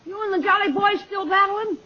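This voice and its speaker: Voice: extremely high pitch, steady tone, fairly quick, lo-fi sound. An actor playing a cheeky, sardonic boy nephew in a 1940s radio sitcom. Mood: teasing. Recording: very noisy old radio recording with audience.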